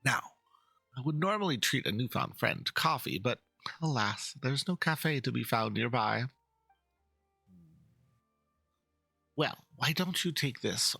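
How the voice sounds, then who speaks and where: A man acts out a theatrical game character, a passionate and easily indignant painter. His voice is forceful and husky, with wide, swooping pitch. He speaks floridly and pompously over music. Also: lively intonation, normal speed, no room sound, full character voice. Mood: courteous, cheerful. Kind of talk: dramatic reading of game dialogue.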